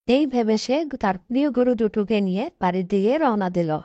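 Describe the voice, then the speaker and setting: A female narrator reads a scripted cartoon story. Her voice sits a little high with a dark, relaxed tone, and the sound is very dry and slightly lo-fi. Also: moderate pace, dry recording. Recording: dull, dry lo-fi track.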